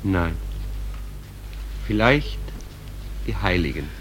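A man playing a calm, all-knowing stage manager in a radio drama, measured and laconic. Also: low-pitched, a little hiss or hum, heavily acted, poor audio. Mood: calm, grave.

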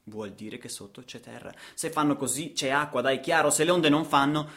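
A man's voice: medium pitch, moderate pace, fairly bright tone. Male actor for a radio theatre monologue; rhythmic, dramatic storyteller delivery. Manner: dramatic, rhythmic delivery with repetitions and rhetorical questions.

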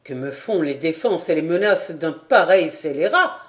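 A woman's voice: low voice, expressive, moderate pace. Woman reading an earnest resolute young nobleman. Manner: earnest, declaiming.

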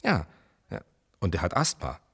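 Male researcher, radio drama: eager, wry, self-assured, lowish pitch with animated swings. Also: normal speed, vocal fry, breathy edge, heavily acted. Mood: intrigued. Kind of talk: naturalistic German radio play dialogue.

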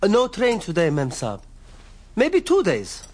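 An actor playing a polite desert rest-house servant in a radio drama, a non-native speaker using broken, deferential phrases. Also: male voice, high-pitched, wildly swooping pitch, measured pace, darkish tone, heavily acted. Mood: apologetic, matter-of-fact. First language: not English.

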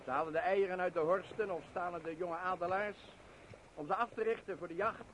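An actor playing a humble bird expert of the mountains in a radio drama. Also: male voice, high-pitched, brisk, fairly bright tone, slight background noise, low-quality recording. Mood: earnest, reflective.

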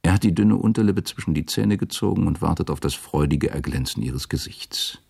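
Radio drama narrator: man, rock-bottom pitch, raspy fry, scripted literary storytelling. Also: expressive, a little fast, darkish tone.

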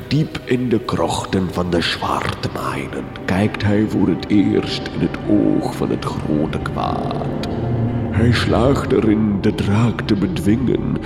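An actor playing a doom-laden count in a gothic comedy radio drama, theatrical and portentous, with archaic diction. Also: male voice, low-pitched, exaggerated voice. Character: doom-laden count recounting his ancient failed covenant. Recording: roomy reverberant sound, music behind.

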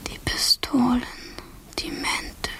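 A woman acting in a German radio drama as a sleepy, lovestruck young girl, speaking verse in a breathy whisper. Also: slow, fairly bright tone, recorded from a distance. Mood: dreamy longing.

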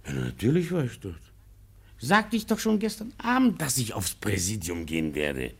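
An actor playing a panicky, hypocritical politician in a classic radio drama, stammering, agitated and evasive. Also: male voice, lowish voice, huge pitch swings, moderate pace, vocal fry, breathy edge, exaggerated voice. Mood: agitated, despairing. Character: flustered, panicky hypocritical politician and morality society president.

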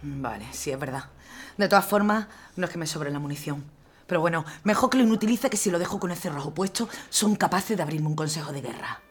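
A woman's voice: low voice, animated, brisk, a little hiss or hum. Actress playing a determined young militia woman. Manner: determined, teasing. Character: stubborn young woman militia fighter Lina.

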